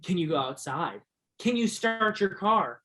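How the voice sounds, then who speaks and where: A man's voice: high voice, big pitch swings, unhurried, fairly bright tone, exaggerated voice. A man playing a reluctant, dreamy teenage boy in a stage play, earnest and grumbling.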